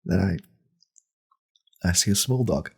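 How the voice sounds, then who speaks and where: A man playing an angry, pompous right-wing podcast host in a deadpan parody monologue, with a deliberate, conspiratorial manner. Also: heavily acted. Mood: brooding anger. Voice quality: very deep, gravelly, husky.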